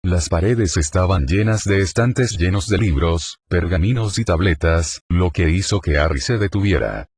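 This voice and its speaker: A man narrating a fan fiction audiobook in a steady, plain storytelling manner. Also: low-pitched, moderate pace, few pauses.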